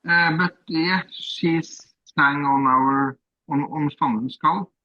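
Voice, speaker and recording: A man's voice: on the high side, takes it slowly, sharp tone, lo-fi sound. A man whose first language is Norwegian, a drummer answering interview questions in hesitant, plain English full of fillers. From Norway.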